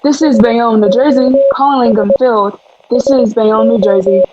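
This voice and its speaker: A woman acts as an urgent military radio operator in a radio drama. The slightly high voice calls out in clipped phrases through a dull, very noisy radio channel. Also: steady tone, normal speed, lightly acted. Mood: urgent, official. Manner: clipped, repetitive call-outs. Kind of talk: radio play acting.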